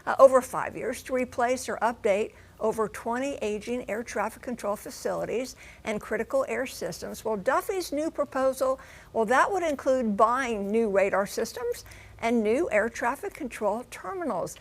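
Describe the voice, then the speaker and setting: A woman with a fairly high voice delivers a broadcast news report. She is emphatic and conversational, punctuating explanations with folksy exclamations and rhetorical questions. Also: lively intonation, normal speed, rough recording. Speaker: TV transportation correspondent. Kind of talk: broadcast news report with live studio discussion.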